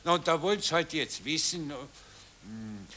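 Casting: complacent, dim elderly Austrian general, hesitant rambling talk with lively pitch, 1940s radio drama. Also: male voice, a little slow, full character voice. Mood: befuddled. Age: older. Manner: hesitant, rambling, muttering repetitions. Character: doddering, clueless, complacent old Austrian general. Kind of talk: 1940s German radio satire acting.

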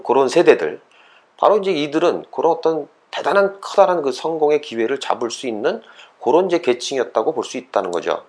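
A man's voice: mid-range voice, husky. A man giving an explanatory, lecture-style talk as a host, conversational and fond of posing rhetorical questions. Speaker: host of an educational talk series for parents and children.

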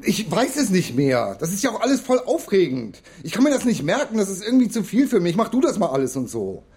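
A man's voice: sits high, very animated, fairly quick, a little mellow, husky, full character voice. An actor playing a hapless, flustered young man in a radio crime drama, talking in a hesitant, colloquial, evasive way. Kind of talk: naturalistic radio drama acting.

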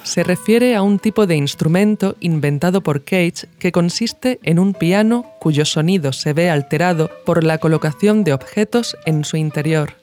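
A woman's voice: fairly low pitch, moderate pace, hardly any pauses, darkish tone, soft background music. A woman from reading scripted documentary narration as the host of an audio podcast.

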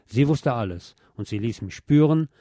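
A man's voice: medium pitch, lively intonation, moderate pace, dark tone, dry recording, studio quality. A man reading scripted narration as a podcast host.

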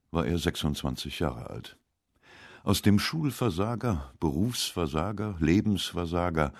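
A man reading scripted narration for a radio essay in a measured, literary way. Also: rumbling low, no echo, clean studio sound.

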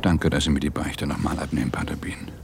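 An actor playing a troubled middle-aged married police detective in a German radio drama, gruff but candid. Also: male voice, very deep voice, level tone, fast talker, noisy background. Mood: troubled, hushed.

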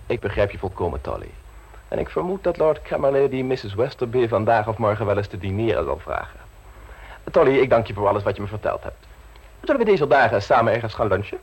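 An actor playing a smooth, self-assured scheming secretary in a radio play, teasing and unflappable, with a husky voice. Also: male voice, fairly low pitch, big pitch swings, fast, heavily acted, poor audio. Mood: curious, charming. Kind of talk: radio play acting in Dutch.

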